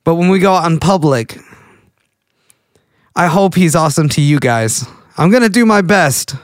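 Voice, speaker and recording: A man's voice: fairly high pitch, animated, moderate pace, no echo, studio quality. A man in his late twenties with a Texas accent, rambling goofily through a casual monologue as a podcast host. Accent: Texan. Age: late 20s. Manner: goofy, rambling, self-interrupting.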